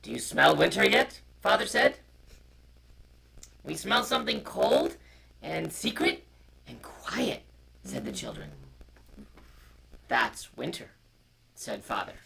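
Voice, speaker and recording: A man's voice: mid-range voice, gravelly, pushed and bright. A man co-hosting a story time, chatting informally and reading a picture book aloud, on a noisy, distorted live stream. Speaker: co-host, storyteller.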